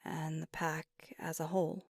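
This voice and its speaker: A woman playing an earnest, conflicted young werewolf girlfriend in an intimate ASMR roleplay, speaking softly and candidly, often near a whisper, close to the mic. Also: sits low, flat delivery, vocal fry, no room sound. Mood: earnest, tender. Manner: deliberate, candid, casually profane. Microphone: close microphone, dry.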